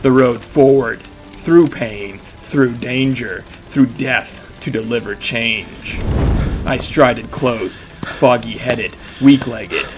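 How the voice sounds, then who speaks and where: A man's voice: mid-range voice, natural voice. Man acting a grim, battered vigilante narrator over music. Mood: grim resolve. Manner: intense, clipped, halting phrases. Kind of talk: first-person dramatic audio drama narration. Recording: music behind the voice. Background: dramatic music.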